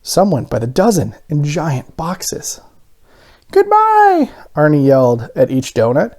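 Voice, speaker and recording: Mellow, animated man reading a storybook. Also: on the high side, moderate pace. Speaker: parent reading a picture book aloud. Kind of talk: expressive children's storybook narration.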